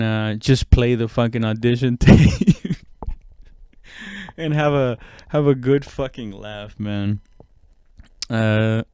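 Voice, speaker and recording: A man's voice: mid-range voice, darkish tone, clean tone, dry recording. A man giving a casual, rambling comedic monologue, self-deprecating and swearing freely. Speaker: comedian and podcast host.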